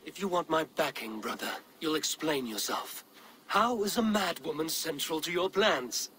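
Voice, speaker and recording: Skeptical Viking warrior, direct, fairly high male voice, forceful edge, video game cutscene. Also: animated, moderate pace, slight background noise. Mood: challenging. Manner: direct, challenging. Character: blunt, skeptical Norse warrior, loyal brother.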